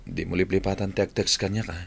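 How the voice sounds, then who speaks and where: Radio drama: downcast young man in love, low-pitched, quietly apologetic, slight tape hiss. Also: flat delivery, fast talker, vocal fry. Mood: worried. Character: young man Tonton, lovesick and worried. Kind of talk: 1990s-style AM radio drama acting. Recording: old AM radio recording, faint hiss.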